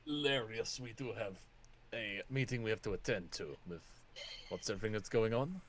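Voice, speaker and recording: A man's voice: fairly low pitch, normal speed, fairly bright tone. A man voicing an amused, businesslike adventurer in a dry, matter-of-fact way in a tabletop game.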